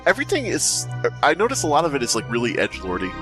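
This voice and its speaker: A man's voice: medium pitch, huge pitch swings, rapid-fire, music in the background. A man hosting a podcast, riffing crudely and exclaiming in casual joking group chat. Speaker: podcast host.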